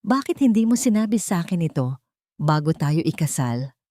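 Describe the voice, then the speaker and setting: A woman narrator plays a bitter husband with a bruised ego, accusing and animated. It is Filipino-accented audio storytelling, recorded dry. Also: on the low side, moderate pace, no room sound. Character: bitter, wounded-ego husband, angry at his wife.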